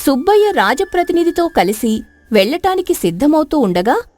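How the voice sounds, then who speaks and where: A woman's voice: sits high, very animated, normal speed, a little mellow, faint music behind. A woman narrating a scripted story in a dramatic storytelling style.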